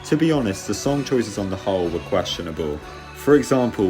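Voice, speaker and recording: A British man with a low voice delivers a scripted review. He is measured and articulate, stating his opinions plainly while music plays clearly behind him. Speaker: theatre reviewer and host. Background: music under the voice.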